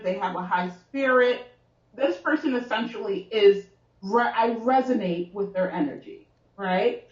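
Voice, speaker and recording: A woman's voice: mid-range voice, reverberant, distant mic, muffled recording. A woman guest, a success coach, explaining her ideas in a casual chat and often checking in with "right?".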